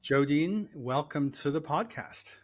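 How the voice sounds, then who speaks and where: A man's voice: on the high side, lively intonation, slow. A man hosting a podcast, asking friendly, loosely phrased questions of his guest. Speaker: podcast host. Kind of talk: interview hosting.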